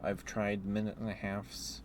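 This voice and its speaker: A man chatting casually on an ASMR livestream as the host, in a hesitant, halting, chuckly and apologetic way. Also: on the low side, monotone, a little hiss or hum. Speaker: live streamer hosting an ASMR stream.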